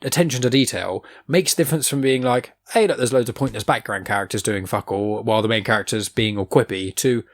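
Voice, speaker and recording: Quick guy, young, rambling podcast host, mid voice, swears a bit. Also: mid-range voice. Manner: rambling, animated, swears casually. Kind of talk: casual nerd chat.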